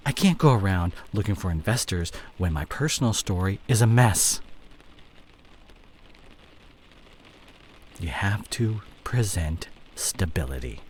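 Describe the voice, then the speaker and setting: Man acting smooth, dramatic cheating CEO boyfriend, animated pitch. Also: slight background noise. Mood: exasperated. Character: cheating fallen CEO boyfriend, smooth-talking, evasive, image-obsessed. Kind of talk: intimate boyfriend roleplay audio drama.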